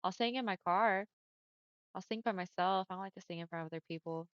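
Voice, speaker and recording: A young woman streamer chatting casually with her viewers, friendly and often laughing, with a smooth voice on the deeper side for a woman. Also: fast, fairly bright tone, no room sound. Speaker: livestreamer. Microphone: headset mic. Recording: dull lo-fi headset mic.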